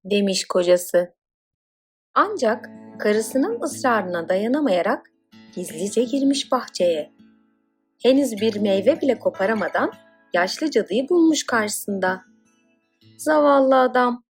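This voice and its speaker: Slow, lively woman storyteller, fairly high. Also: faint music behind. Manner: expressive, dramatic storytelling. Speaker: storyteller, narrator. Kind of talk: scripted children's fairy tale narration.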